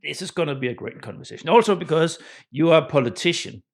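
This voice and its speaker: A man hosting a podcast interview in an informal, halting conversational way, often repeating words and restarting. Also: fairly high pitch, expressive, gravelly. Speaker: podcast host.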